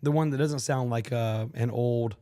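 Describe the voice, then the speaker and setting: A man in his thirties from Florida, co-hosting a casual comedy podcast with a hoarse, gravelly voice and deadpan jokes, often clearing his throat. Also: medium pitch, moderate pace. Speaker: podcast co-host.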